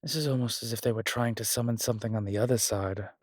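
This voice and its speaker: A man playing a strong, scholarly demon in a horror roleplay audio, explaining things in a deliberate, halting, pedantic way. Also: on the low side, fairly even intonation, no room sound, studio quality, close to an everyday voice. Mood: pondering, baffled. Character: strong, scholarly male demon, exasperated occult lecturer. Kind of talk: immersive one-sided roleplay audio drama.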